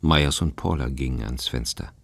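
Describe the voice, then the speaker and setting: A man acting as the detached narrator of a radio drama, reading literary prose in a measured, matter-of-fact way. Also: very deep voice, creaky, no echo, heavily acted. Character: measured, detached male storyteller. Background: plucked guitar music.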